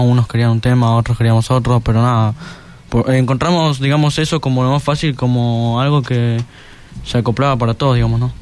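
Fast-talking Argentine teenage boy. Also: medium pitch, darkish tone, clear, resonant tone, dry recording. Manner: informal, filler-heavy. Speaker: student guest. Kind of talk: casual interview answers.

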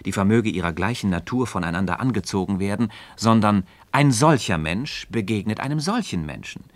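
Actor as an earnest, low-voiced, insistent philosopher. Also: male voice, lively intonation, fairly quick, no echo, close to an everyday voice. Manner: didactic, emphatic, building arguments step by step. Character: earnest, insistent male philosopher leading a Socratic dialogue. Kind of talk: German literary radio play dialogue, formal stage diction.

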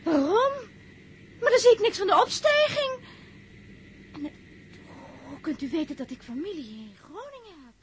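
A woman's voice: very high voice, sing-song, normal speed, pauses often. An actress playing an inquisitive, naive-seeming young woman in a 1960s radio drama, coy and flustered, with hesitant, stammering delivery. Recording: noisy early-1960s radio recording.

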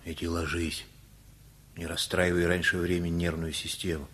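An actor playing an easygoing older working man with a husky voice and folksy, unhurried speech in a radio play. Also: deep voice, level tone, a bit bright. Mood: gruff, fatherly.

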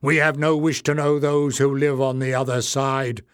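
A man voicing an angry, suspicious and stubborn male leader of an underground folk in a storybook, sneering and forceful in manner. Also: mid-range voice, level tone, moderate pace, clear, resonant tone, no room sound, clean studio sound, lightly acted. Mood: grim, unyielding. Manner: sneering, shouting in anger.

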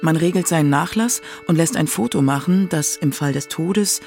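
A woman's voice: low-pitched, moderate pace, a little mellow, faint music behind. A woman reading scripted narration for a radio documentary feature.

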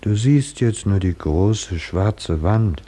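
An actor in a 1950s German radio drama playing a calm, consoling companion with a measured, solemn delivery. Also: male voice, lowish voice. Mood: grave, consoling. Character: short-sighted male companion of Odysseus, calm and consoling.

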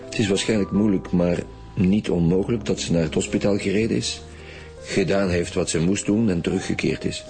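A chief homicide detective in a Flemish radio drama. His voice is deep, his manner dry, probing and matter-of-fact, with a Belgian Dutch accent over a music bed. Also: fairly quick, a little mellow.

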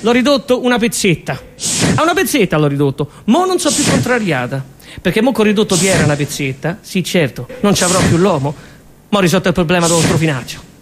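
A man playing a deadpan Roman housewife. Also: high-pitched, fast. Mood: deadpan grievance. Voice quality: deadpan, repetitive, mock-reasonable. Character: Roman woman, fed up with lazy live-in partner. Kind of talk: comic stage monologue.

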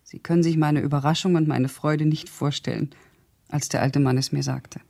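Educated young russian woman in a radio drama, gravelly soft-dark voice, thoughtful precise storytelling. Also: low voice, fairly even intonation, normal speed. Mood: reflective. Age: young adult. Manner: reflective, precise, descriptive storytelling. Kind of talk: radio drama monologue as recollection.

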